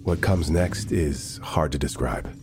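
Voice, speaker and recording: An actor playing a humanlike AI android in a sci-fi audio drama, speaking in formal, deliberate, measured phrases with a proud, wistful air. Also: male voice, a bass voice, background noise. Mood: somber, awed.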